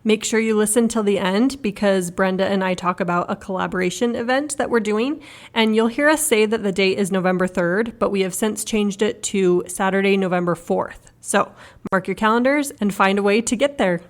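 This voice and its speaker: A woman's voice: medium pitch, moderate pace. Chatty woman hosting over a video call. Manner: eager, talkative, upbeat. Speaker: podcast host. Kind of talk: casual interview chat. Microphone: video call recording.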